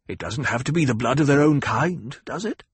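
A male audiobook narrator plays a haughty banker in a science fiction novel. The voice is lifted in pitch, rasping and stiff, with slow, pointed phrasing and a resentful edge. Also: expressive, dry recording. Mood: condescending.

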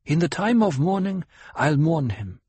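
A man's voice: on the high side, big pitch swings, a little slow, darkish tone, slightly rough, no echo, voice pushed up, heavily acted. A man voicing an anxious, proud tribeswoman who is no longer young, plaintive and emphatic, in an audiobook. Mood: guarded.

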